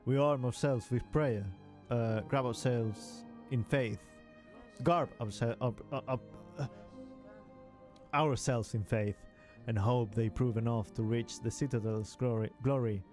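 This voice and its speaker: A man reading the lines of a devout pilgrim bug in a game, halting and tripping over a few words. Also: medium pitch, steady tone, darkish tone, soft background music, lightly acted. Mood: earnest.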